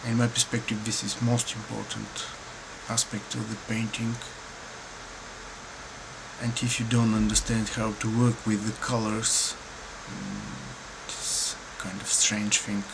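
A middle-aged man from Bulgaria with a Bulgarian accent, answering questions to camera at home in a slow, halting, thoughtful way with many pauses. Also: fairly low pitch, animated. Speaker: painter and filmmaker. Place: dim room indoors at home. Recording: clear room noise behind.

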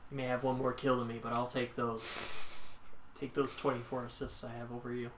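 A man's voice: medium pitch, little pitch movement, a little fast, background noise. A young man casually chatting and joking with a friend while playing a co-op shooter, swearing freely. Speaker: gameplay commentator. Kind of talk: casual co-op chat. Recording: roomy mic with loud gunfire behind.

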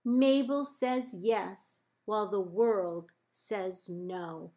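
A woman librarian reading a children's picture book aloud in measured, deliberate phrases with pauses. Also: mid-range voice, warm tone. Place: indoors, book held up to camera.

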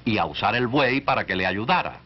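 Male narrator for a 1940s health short: instructive, lively intonation, addresses the viewer directly, muffled old film sound. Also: lowish voice, brisk. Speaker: narrator of an educational cartoon. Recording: dull, band-limited 1940s film soundtrack.

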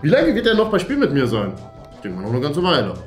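A man's voice: a little high, huge pitch swings, quick pace. A young man hosting a video, bantering casually and jokingly insisting on his answers to viewer questions. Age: young adult. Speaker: YouTube host. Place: furnished indoor room, seated at a table. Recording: roomy echo with music underneath.

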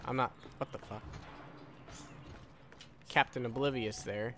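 A man's voice: mid-range voice, steady tone, unhurried, pushed and bright, a little hiss or hum. A man giving casual live gameplay commentary, muttering questions to himself and swearing mildly. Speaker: gaming YouTuber. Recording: faint game sound behind commentary. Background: video game sound.

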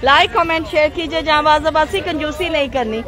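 A woman's voice: sits high, fairly quick, fairly bright tone. A Pakistani woman hosting a casual live market vlog, chatty and playful, switching into English phrases, her voice slightly muffled by a mask. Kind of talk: casual live vlog chat, greeting viewers and haggling. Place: open-air bazaar, outdoors. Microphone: handheld phone. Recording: busy outdoor market crowd behind.

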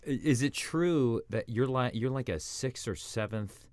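A man hosting a podcast interview, joking and relaxed, with frequent ums and uhs. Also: medium pitch, a little slow. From Midwest. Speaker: podcast host, congressman. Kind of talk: casual interview.

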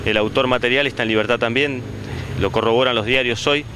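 A man's voice: medium pitch, steady tone, noisy background. A man in his forties, a union leader giving a denouncing statement outdoors into a handheld mic. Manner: denouncing, measured. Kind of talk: press statement. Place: outdoors on a town street. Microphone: handheld reporter mic.

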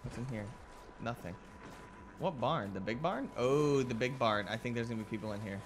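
A man's voice: medium pitch, expressive, normal speed, faint music behind. A young man giving casual, joking gameplay commentary in a playful, goofy manner. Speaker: gaming YouTuber.

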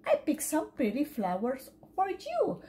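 A woman's voice: sits high, wildly swooping pitch, measured pace, warm tone, exaggerated voice. Dylan, a woman doing a squealing boy duckling. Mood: excited. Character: excitable little boy duckling.

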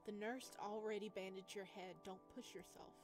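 A woman voicing a reserved, considerate young man in a visual novel, in a measured, matter-of-fact way. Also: mid-range voice, steady tone, over music, natural voice. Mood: calm concern.

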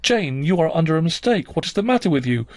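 A man's voice: fairly high pitch, big pitch swings, fast, no echo, pitched above the performer's own voice. A man voicing a stern but uneasily placating genteel aunt in a storybook reading, with a coaxing manner. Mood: uneasy, placating.

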